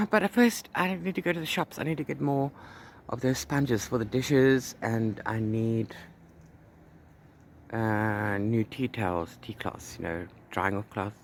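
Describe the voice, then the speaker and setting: Man, mid-pitched voice, casual book vlog chat, rambling, repetitive emphasis, clear background noise. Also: slow pace, a bit bright. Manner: rambling, repeats words and phrases for emphasis. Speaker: book vlogger. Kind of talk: casual chat to camera about reading.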